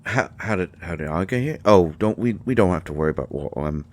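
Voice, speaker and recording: A man playing a tiny, gruff but shy and caring potion-brewing healer in an audio drama, with a mottled British accent and a halting, stammering delivery. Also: low-pitched, lively intonation. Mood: wistful, awkward. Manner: halting, stammering, mumbling asides. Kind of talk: intimate roleplay audio drama monologue.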